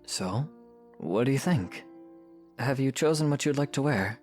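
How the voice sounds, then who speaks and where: A man's voice: mid-range voice, lively intonation, measured pace, a little mellow, close to an everyday voice. A man playing a kind, easygoing young adventurer with a casual, reassuring manner in a fan audio drama. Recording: music under the voice.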